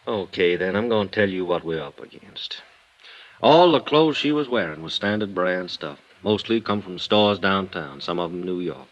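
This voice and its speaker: An actor playing a blunt, weary police lieutenant with an American accent and folksy, clipped talk, in 1950s radio drama style. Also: male voice, sits low, expressive, moderate pace, lo-fi sound. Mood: businesslike, probing. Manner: folksy, clipped, plain-spoken cop talk.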